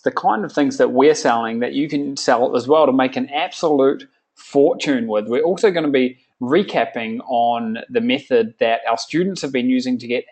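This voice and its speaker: A man's voice: medium pitch, a little mellow. A man in his thirties with a New Zealand accent hosting a live sales webinar, upbeat and salesy with frequent fillers. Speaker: webinar host.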